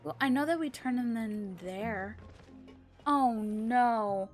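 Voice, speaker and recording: A woman's voice: a little high, takes it slowly, soft background music. A woman chatting casually on a live game stream, musing aloud to herself and her viewers.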